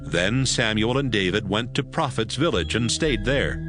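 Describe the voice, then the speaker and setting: A man's voice: on the low side, expressive, normal speed, music in the background. A man narrating a dramatized scripture audiobook.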